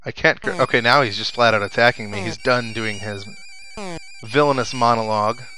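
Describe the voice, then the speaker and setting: Male let's play host, mid-pitched, off-the-cuff commentary with game music clearly behind. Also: fairly even intonation, a little fast, low-quality recording. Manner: thinking aloud to viewers. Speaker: gaming video host. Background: video game music and sound effects.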